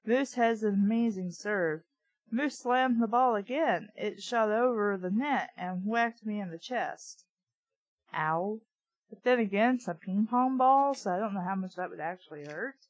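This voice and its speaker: A woman's voice: medium pitch, measured pace, lo-fi sound. A woman reading a story aloud, breaking in with blunt, sarcastic, casual asides. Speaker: audiobook reader and commentator.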